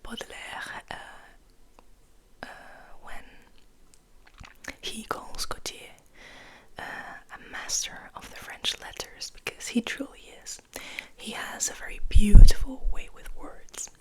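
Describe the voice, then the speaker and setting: Young woman doing asmr, whispers real slow, soft n gentle, kinda rambly. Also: on the high side, fairly even intonation. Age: young adult. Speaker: ASMR host, literature student.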